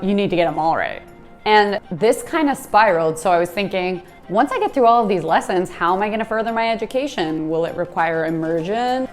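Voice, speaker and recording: A woman in her twenties from the United States, giving a candid, self-deprecating talk and telling stories from her own life. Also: mid-range voice. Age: 20s. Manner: candid, self-deprecating, storytelling with small laughs. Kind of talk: reflective personal monologue.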